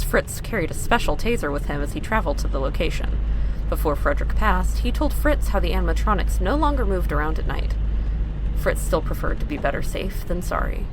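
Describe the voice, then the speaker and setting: A woman's voice: on the low side, noisy background. A woman narrating a scripted audio drama as its storyteller.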